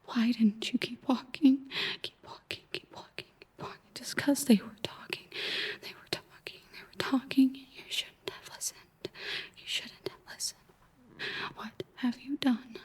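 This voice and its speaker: Ghostly inner voice, a woman performing: female, ominous, repetitive, husky whispers and raised murmurs, horror roleplay. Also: on the high side, a little mellow, heavily acted. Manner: breathy whispering that rises into frantic repeated chanting. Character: haunting female voices, frantic, accusing, chanting doom.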